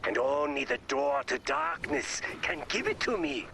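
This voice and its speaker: Slow, gloomy deadpan brain creature. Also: male voice, a little high. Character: morose brain-creature man seeking ultimate fear, chunky and emotionless. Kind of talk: video game character voice acting. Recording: loud music under the voice.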